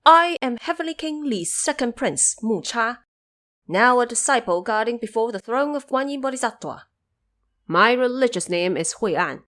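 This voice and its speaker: A woman's voice: fairly high pitch, lively intonation, moderate pace, fairly bright tone, pitched above the performer's own voice. A woman voicing an earnest young warrior prince, a dutiful son who speaks formally in a fantasy audio drama. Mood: bold. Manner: formal, deferential, declamatory.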